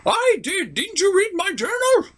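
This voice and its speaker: A man's voice: very high-pitched, wildly swooping pitch, measured pace, fairly bright tone, voice pushed up, full character voice. A man voicing a bossy, exasperated old wizard pony who scolds indignantly in a puppet-show parody. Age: older.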